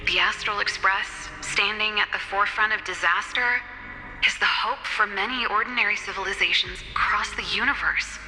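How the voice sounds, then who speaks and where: Game role: chatty, upbeat woman ally, very bright forceful tone, informal, slight hall reverb. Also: mid-range voice, moderate pace, soft background music. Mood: earnest. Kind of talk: video game voice acting.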